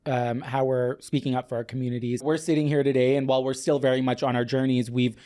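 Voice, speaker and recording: A man's voice: medium pitch, little pitch movement, warm tone. A man who grew up in Michigan, hosting a podcast and asking questions in an upbeat, encouraging way. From Pakistani-American, grew up in Michigan. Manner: upbeat, encouraging, quick to laugh. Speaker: podcast host. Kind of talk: casual podcast interview.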